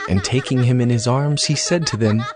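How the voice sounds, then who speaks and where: A man's voice: medium pitch, brisk. A man narrating scripture from a script as the storyteller of a dramatized audio reading.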